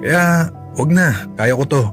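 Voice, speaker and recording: A man's voice: on the high side, takes it slowly, music in the background. An actor with a Filipino accent playing a cash-strapped, apologetic and devoted young father in a radio drama, with a self-effacing manner. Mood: resigned, tender.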